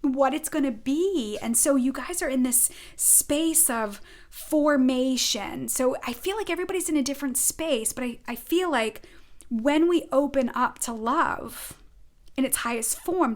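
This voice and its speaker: Earnest Rhode Island woman reading oracle cards. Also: high voice. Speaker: spiritual advisor giving a weekly oracle card reading. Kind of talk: informal spiritual guidance monologue.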